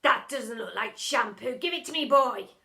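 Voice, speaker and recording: A woman's voice: a little high, expressive, normal speed, creaky, sharp tone, full character voice. A woman voicing a villainous, short-tempered male teacher in a storybook, barking his lines theatrically. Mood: angry.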